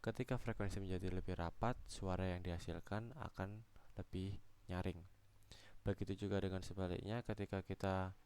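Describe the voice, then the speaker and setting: Young male student presenter. Smooth, lowish voice, halting step-by-step technical explanation. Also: steady tone, a little mellow. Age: young adult.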